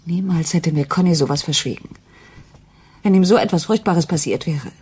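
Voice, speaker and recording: A woman's voice: lowish voice, normal speed, a little mellow, slightly rough. An actress playing an earnest, insistent, troubled wife and mother in a German radio crime play. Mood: disbelieving. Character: distraught, doubting wife of a jazz musician, a mother.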